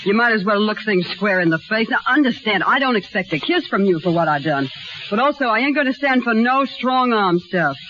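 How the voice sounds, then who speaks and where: A woman's voice: mid-range voice, expressive, fairly quick, lightly acted. An actress playing a tough, defiant young carnival woman with slangy, brash talk, in 1930s radio drama style. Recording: muffled, noisy 1930s radio recording.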